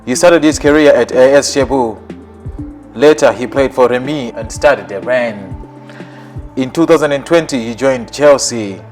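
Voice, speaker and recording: A man narrating a scripted countdown of football players in an even, list-like reading. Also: medium pitch.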